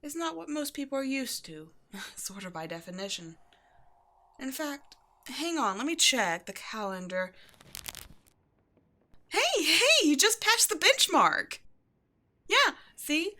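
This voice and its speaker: A woman's voice: sits high, big pitch swings, slow, long pauses, pushed and bright, full character voice. A woman acts out an upbeat, quirky keeper of a mysterious occult shop. She chats in a breezy, casual way, as if to a new employee in an ASMR roleplay. Eerie matters get the same offhand, friendly treatment as everyday ones. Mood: excited, pleased. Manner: chatty, breezy, offhand about eerie things. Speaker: shop owner character speaking to a new employee. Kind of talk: ASMR roleplay, casual one-sided conversation.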